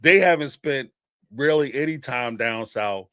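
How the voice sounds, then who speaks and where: Animated Philly-accented man riffing on stream. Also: medium pitch, unhurried, clear, resonant tone, dry recording, studio quality. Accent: Philadelphia. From Northeastern United States. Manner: animated and rambling, laughs often, many fillers. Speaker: live-stream show host taking call-ins. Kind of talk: casual live-stream commentary.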